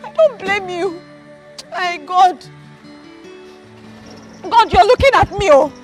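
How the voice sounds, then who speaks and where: An actress with a Nigerian accent playing a fiercely loyal, indignant wife in a melodramatic film, scolding emphatically and repeating herself. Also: female voice, very high voice, expressive, takes it slowly, music in the background, heavily acted. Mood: anguished, angry.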